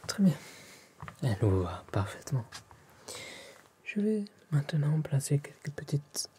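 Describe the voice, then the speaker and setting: A man doing a soft-spoken, half-whispered ASMR tailor roleplay in a polite, formal, attentive manner. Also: fairly high pitch, sing-song, measured pace, husky.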